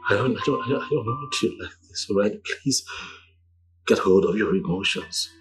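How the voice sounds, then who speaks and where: A man's voice: on the low side, lively intonation, moderate pace, soft background music, natural voice. An actor playing a devoted husband who soothes and pleads with his distraught wife. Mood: pleading. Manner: soothing, pleading, repeats himself gently. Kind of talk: film drama acting.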